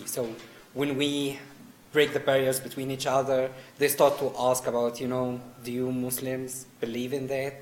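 A man from Egypt on a discussion panel, answering hesitantly with repeated words and acted-out quotes. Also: medium pitch. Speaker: panel guest. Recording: echoey room with faint noise.

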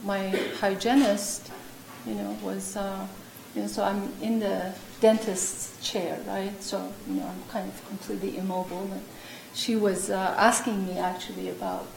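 A woman's voice: medium pitch, flat delivery, normal speed, creaky. A woman professor on a discussion panel, telling chatty anecdotes with many fillers. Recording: echoey room with faint noise.

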